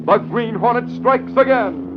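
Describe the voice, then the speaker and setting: A man's voice: very high voice, expressive, a little slow. A man narrating a 1940s radio drama in a dramatic, declamatory announcer's style. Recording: muffled old radio broadcast, faint noise.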